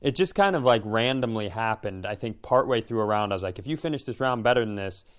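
A man co-hosting a casual chat, thinking aloud in a halting, reflective way and often breaking off to rephrase. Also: lowish voice, animated. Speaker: podcast co-host.

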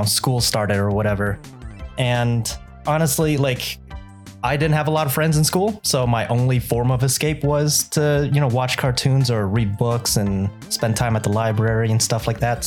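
A young man from Southern California, born to a Vietnamese-speaking home, talking as a cinematographer guest in a relaxed, reflective interview. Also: medium pitch. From Southern California, USA. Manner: relaxed, reflective, uses many fillers. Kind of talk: casual interview conversation.

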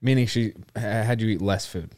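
A man's voice: on the low side, fairly quick, a little mellow, no room sound, studio quality. A man co-hosting a podcast, asking questions in a searching, stammering way with many restarts. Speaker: podcast co-host. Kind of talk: conversational interviewing.

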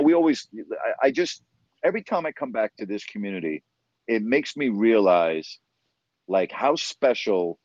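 A man's voice: lowish voice, a little mellow, no echo. A man in his fifties from New York, a sports broadcaster speaking as an interview guest, earnest and emphatic, repeating words as he stresses his points. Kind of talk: conversational interview storytelling. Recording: dull, dry sound.

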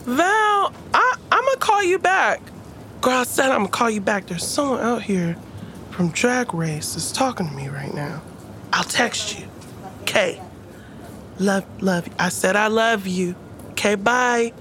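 A woman playing a sassy, chatty young woman in an audio drama, speaking in slangy phrases with drawn-out emphasis. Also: medium pitch, animated, a bit bright, slight background noise. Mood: exasperated. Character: sassy, chatty, weary young woman in scrubs, often asked for help.

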